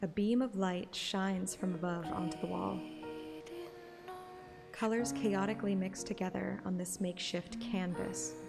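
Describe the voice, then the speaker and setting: Woman reading romance fantasy story like a homemade audiobook, bit of vocal fry, music behind. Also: medium pitch, fairly even intonation, a little slow. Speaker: fan audiobook narrator and podcast host. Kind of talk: reading a romantic fantasy game story aloud, narration with casual asides.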